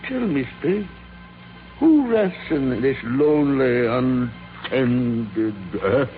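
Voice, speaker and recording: An actor playing an old miser named for repentance, with halting, exclamatory delivery in a 1940s radio drama. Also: male voice, mid-range voice, animated, faint music behind. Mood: fearful, pleading. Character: frightened, repentant old miser turned giddy with joy. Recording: tinny, band-limited 1940s radio recording.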